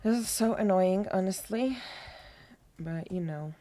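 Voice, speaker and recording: A woman's voice: mid-range voice, unhurried, mellow. A woman with a mixed Polish and British accent that sounds American, streaming a game, thinking aloud and reading in-game notes with small slips. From Poland.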